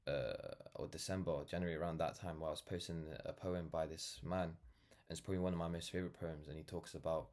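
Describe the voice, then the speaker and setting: A young man from London with a notably low voice. He talks off the cuff in a reflective, earnest monologue. His delivery rambles, leaning on "like" and "you know" as he goes. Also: steady tone, fairly quick, few pauses, darkish tone. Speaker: vlogger, brand founder. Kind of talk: casual reflective monologue to camera.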